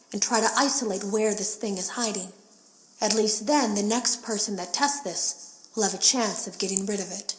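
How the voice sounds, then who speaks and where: An actress playing an uneasy female game tester, confiding in a measured, serious way in scripted audio logs for a horror game. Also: mid-range voice, darkish tone, reverberant, close to an everyday voice, poor audio. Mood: resigned, determined.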